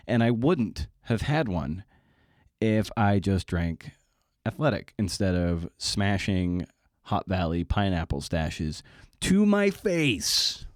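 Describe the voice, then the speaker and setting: A man's voice: low-pitched, dry recording, clean studio sound. A man hosting a podcast in a casual, rambling monologue, with informal, slangy, self-deprecating phrasing. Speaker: podcast host.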